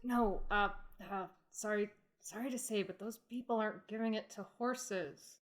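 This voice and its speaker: Comic sketch straight role: actress as hesitant woman, apologetic, halting, medium pitch. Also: fairly even intonation, lightly acted. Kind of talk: scripted comic audio sketch.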